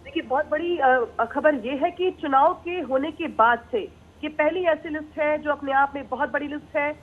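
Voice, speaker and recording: High-voiced woman reporter on phone line. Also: moderate pace. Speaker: news reporter. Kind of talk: live television news report. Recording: tinny narrow phone line.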